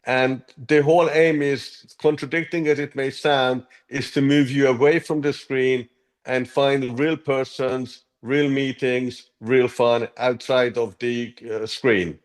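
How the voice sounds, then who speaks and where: Hungarian-born man, mid-pitched, measured interview guest who often restarts his sentences. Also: steady tone. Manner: earnest, measured, with frequent ums and restarts. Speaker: app co-founder, guest. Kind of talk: interview answers.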